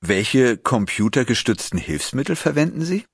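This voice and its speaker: A man reading a magazine article aloud in a measured, scripted way and posing interview questions. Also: mid-range voice, no room sound, clean studio sound. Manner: measured, even, clear read. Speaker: narrator and interviewer.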